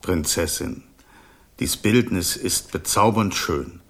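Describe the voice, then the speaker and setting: An actor playing an enchanted snake, a princess's loyal guardian spirit, courtly and theatrical in a radio play. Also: male voice, low voice, takes it slowly, slightly rough, heavily acted. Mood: devoted, hesitant. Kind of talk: German radio play acting.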